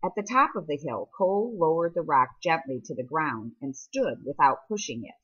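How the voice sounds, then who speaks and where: A woman reads a story aloud in a husky, slightly breathy voice. Her delivery is measured, phrase by phrase, with endings that fall away softly. The recording is somewhat dull and lo-fi. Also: deep voice, animated. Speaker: audiobook reader. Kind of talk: scripted story narration, reading a novel chapter aloud.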